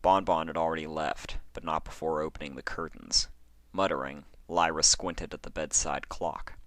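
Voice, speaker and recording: A man reading a horror story as narrator, in a measured and dramatic storytelling manner. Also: extremely low pitch, fairly even intonation, a bit bright, dry recording. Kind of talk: scripted narration of horror fan fiction.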